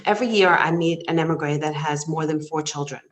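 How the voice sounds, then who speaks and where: A woman's voice: deep voice, a little fast. A woman around fifty, a program director, answering interview questions in an emphatic, admiring way. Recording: echoey room.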